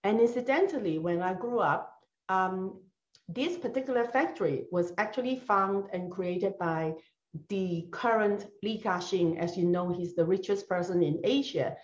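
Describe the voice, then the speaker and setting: A woman's voice: medium pitch, normal speed. Middle-aged woman, earnest storytelling, roomy dull webcam sound, home study. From Hong Kong. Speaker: speaker giving opening remarks. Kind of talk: personal story speech. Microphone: video call webcam.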